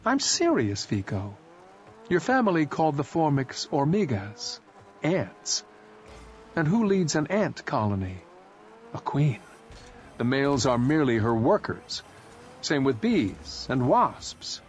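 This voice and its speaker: A man's voice: medium pitch, big pitch swings, slow, voice pushed up. A man reading aloud voicing a young woman soldier who argues her point insistently. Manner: insistent, argumentative.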